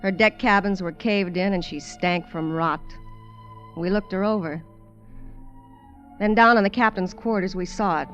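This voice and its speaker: A woman's voice: medium pitch, moderate pace, long pauses, fairly bright tone, faint music behind, natural voice. An actress in a 1950s radio drama, playing and narrating as a wry, streetwise, colloquial showgirl castaway. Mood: reflective, narrating. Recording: dull vintage radio recording.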